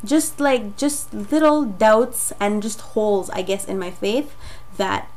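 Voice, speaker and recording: A young woman from Jamaica, raspy from a cold, telling her personal story in a chatty, rambling way with many fillers. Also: medium pitch, slow, darkish tone, a little hiss or hum. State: getting over a cold. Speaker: vlogger. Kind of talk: casual personal testimony to camera.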